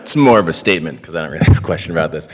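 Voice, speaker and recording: A man's voice: rumbling low, expressive, racing. A man in his thirties giving an informal, fluent, appreciative speech as an activist at a podium microphone. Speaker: activist speaker. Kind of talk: informal spontaneous public speech. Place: large synagogue hall, indoors. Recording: reverberant hall sound.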